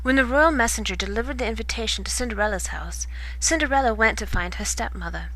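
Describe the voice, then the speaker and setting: A woman reading a children's bedtime story in expressive storybook narration. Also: fairly high pitch, quick pace, poor audio.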